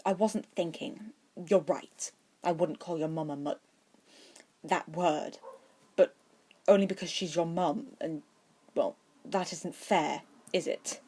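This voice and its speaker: A woman's voice: fairly low pitch, fairly even intonation, slow pace, pauses often, dark tone, breathy edge. A woman voicing a haughty, privileged schoolboy wizard with a snide, flippant manner in an audiobook. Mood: sheepish.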